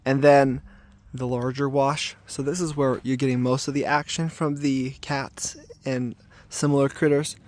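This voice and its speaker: A man with a raspy voice today, talking casually and thoughtfully to a camera while checking traps outdoors, with filler ums and restarts. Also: medium pitch, level tone, a little slow, a little mellow. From southern Arizona. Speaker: trapper filming his trapline. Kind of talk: casual outdoor vlog narration. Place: outdoors in desert washes.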